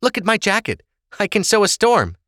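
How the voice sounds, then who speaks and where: A man's voice: high-pitched, very animated, brisk, bright, forceful tone, dry recording, clean studio sound, voice pushed up, heavily acted. A man voicing a restless young noblewoman, a blunt but loving daughter, earnest and protesting, in an audiobook story. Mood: earnest, upset.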